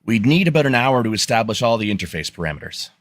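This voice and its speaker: A man's voice: fairly low pitch, very animated, fast, a bit bright, no echo, clean studio sound. A man playing an earnest, practical starship chief engineer in a live radio-theatre reading, with a matter-of-fact technical manner. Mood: earnest, businesslike. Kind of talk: podcast live script reading.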